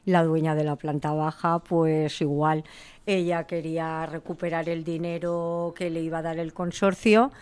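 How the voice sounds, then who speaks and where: A woman's voice: sits low, level tone, a little slow, darkish tone, smooth. A woman in her fifties, a shop owner answering interview questions in a plain, matter-of-fact and resigned way. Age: 50s.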